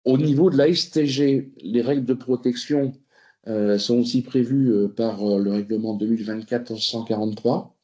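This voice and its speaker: A man's voice: mid-range voice, normal speed, mellow. A man giving a spoken presentation to a committee, hesitant, with many fillers and restarts. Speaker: presenter on food quality labels. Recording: roomy, muffled recording.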